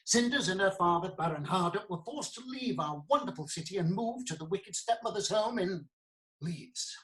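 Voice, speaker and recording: Earnest prosecuting lawyer for comic courtroom audio drama, female actor, medium pitch, formal storytelling delivery with theatrical touches. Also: expressive, normal speed, natural voice, low-quality recording. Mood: dramatic storytelling. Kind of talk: comic courtroom radio play acting.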